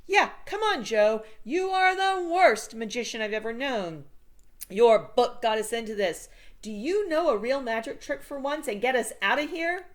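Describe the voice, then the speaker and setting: A woman's voice: on the high side, animated, normal speed, voice pushed up, natural voice. A woman voicing a brash, pushy, defensive schoolboy in a children's storybook. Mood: exasperated. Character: brash, blaming schoolboy in a ball cap.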